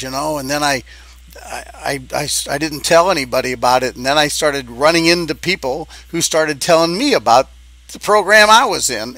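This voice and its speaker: A man giving an informal sales pitch as a business coach, talking in a halting, conversational way and checking in with the listener. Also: vocal fry, bright, forceful tone, no room sound.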